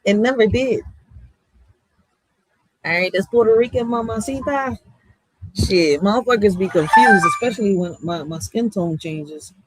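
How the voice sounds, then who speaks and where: A woman's voice: medium pitch, unhurried, pauses often, over loud music, poor audio. A woman with a small, girlish voice, chatting casually in blunt slang and often raising her voice as a streamer. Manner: animated, blunt, slangy, raises voice. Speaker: streamer host. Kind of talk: casual reaction chat.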